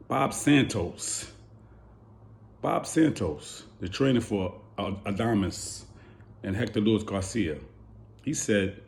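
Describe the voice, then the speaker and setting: Hoarse man ranting about boxing. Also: low voice. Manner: heated, repeating rhetorical questions. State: hoarse from yelling. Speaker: boxing channel host. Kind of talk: animated boxing commentary rant.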